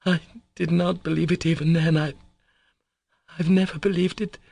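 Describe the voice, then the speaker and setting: A man voicing a proud, guilt-torn young woman in an audiobook, speaking in a raised, halting, fervent voice. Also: fairly high pitch, level tone, gravelly, a little mellow, dry recording, pitched above the performer's own voice. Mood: anguished. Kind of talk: audiobook character voice.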